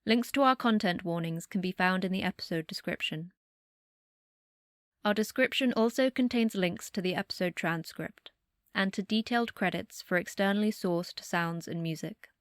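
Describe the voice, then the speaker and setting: A woman's voice: mid-range voice, normal speed, no room sound, studio quality. A woman announcer reading a scripted podcast notice.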